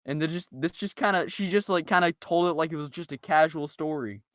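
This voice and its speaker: Man, slightly high voice, dry close mic, casual group chat, slangy anecdotes. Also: a little fast, a bit bright, no room sound. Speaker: podcast member.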